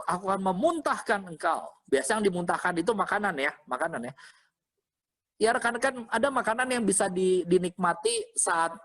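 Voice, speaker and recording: A man preaching as a pastor in an informal, interactive way, repeating phrases and checking in with listeners. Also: sits high. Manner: interactive, often checking with listeners using short questions; animated, exhorting, repeats phrases. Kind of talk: informal conversational sermon to an online congregation.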